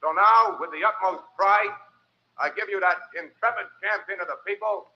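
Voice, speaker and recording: An actor playing a bombastic political master of ceremonies, grandiose and declamatory, in an old-time radio drama. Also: male voice, high voice, moderate pace. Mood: pompous, rousing. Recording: muffled, noisy old radio recording.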